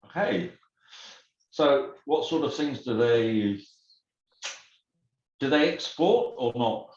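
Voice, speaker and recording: A man's voice: medium pitch, animated, slow pace, a little mellow. A man hosting a casual video call, asking curious questions between short acknowledgements. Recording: echoey dull video call audio.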